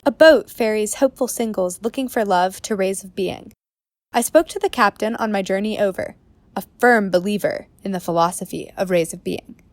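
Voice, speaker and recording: Woman acting an earnest undercover reporter, medium pitch. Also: normal speed, soft background music, natural voice. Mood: grave, intriguing. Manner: probing, serious. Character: earnest, suspicious undercover female investigative reporter. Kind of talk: documentary-style scripted narration in radio play.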